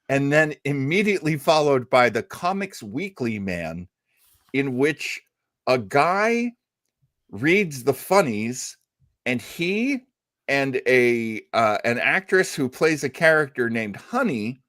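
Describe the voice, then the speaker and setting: A man hosts a casual radio show with a very animated voice of middling pitch. He rambles and halts as he introduces programs, and the sound is dry, with little room. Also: dry recording. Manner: rambling, halting delivery. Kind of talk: casual chat introducing old radio shows.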